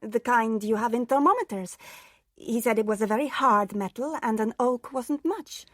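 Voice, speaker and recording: An actress plays a shrewd, practical woman in a radio play. Her voice is slightly high and breathy. She speaks naturally and inquiringly, recorded close and dry. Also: normal speed, no room sound, lightly acted. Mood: concerned, uneasy. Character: shrewd, practical woman, a businessman's trusted confidante. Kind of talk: radio drama dialogue.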